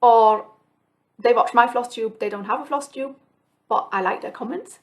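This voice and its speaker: Chatty woman, slightly high voice. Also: moderate pace. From Switzerland. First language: Swiss German. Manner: chatty, reflective, many ums and restarts. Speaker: flosstube host. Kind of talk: casual rambling chat to camera. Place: home, alone.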